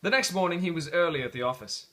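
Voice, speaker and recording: A man reading a storybook aloud as dramatic narration, with theatrical, deliberate phrasing. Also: fairly high pitch, a bit bright. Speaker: storybook reader.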